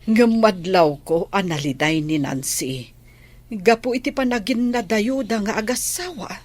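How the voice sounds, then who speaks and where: A woman's voice: mid-range voice, a little slow, a little mellow, natural voice. A woman acting a reproachful, opinionated middle-aged relative with a Filipino accent in an Ilocano radio drama.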